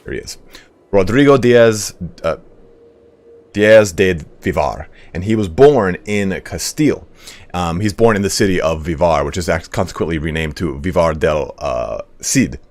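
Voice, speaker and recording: Hoarse low-voiced male streamer. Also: animated, measured pace, a little mellow. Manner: rambling, profane, reads donations aloud. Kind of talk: casual live-stream history talk.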